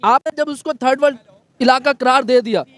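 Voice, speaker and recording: A man's voice: very high voice, fairly quick, a bit bright, no room sound. A male guest from Pakistan, earnest, often tagging his points with a filler. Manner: earnest, repeats "theek hai".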